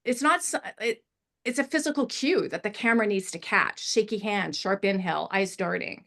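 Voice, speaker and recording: A woman story editor giving spoken script feedback in a discussion, halting and emphatic, often illustrating with examples. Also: medium pitch, fairly bright tone.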